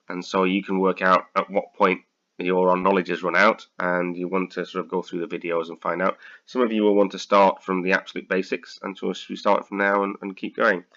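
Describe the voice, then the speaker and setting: A British man with a slightly croaky voice, tutoring accounting students through a software lesson, hesitant with many ums and restarts. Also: low voice, lo-fi sound. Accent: British English. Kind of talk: screen-recorded software tutorial.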